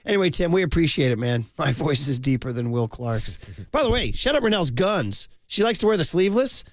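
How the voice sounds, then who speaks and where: A man hosting a call-in sports radio show, energetic and joking with callers from a studio microphone. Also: on the high side, no echo. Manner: energetic, quick, jokey.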